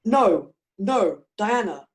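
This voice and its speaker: A woman's voice: medium pitch, expressive, drawn-out words. A young woman playing an affable, easily flustered society husband in a stage play, baffled and exclamatory. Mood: indignant. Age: young adult.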